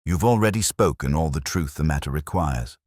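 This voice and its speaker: Loyal Victorian doctor and sidekick read by a male narrator: sincere, sober, very low voice, storybook delivery. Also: lively intonation, brisk, darkish tone, no room sound, studio quality.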